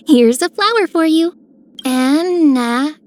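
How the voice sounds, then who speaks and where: A high, playful sweet girl. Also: animated, slow. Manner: playful, gentle. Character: playful, sweet young girl, whimsical and cheerful. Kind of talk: scripted game character lines.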